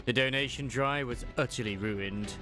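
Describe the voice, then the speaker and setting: A man's voice: mid-range voice, moderate pace, over music. A man reading scripted story narration as a voice-over for a fandub.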